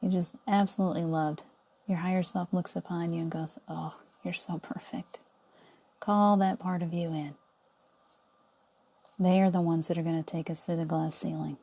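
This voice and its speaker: A middle-aged woman leading a guided visualization meditation in a soothing, coaxing way, often dropping to a soft whisper. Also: lowish voice, level tone, normal speed.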